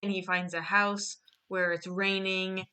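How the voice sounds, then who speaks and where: A woman's voice: mid-range voice, flat delivery, unhurried, sharp tone, smooth. A woman casually presenting her own drawn storyboard in a joking, mock-earnest way, as the illustrator.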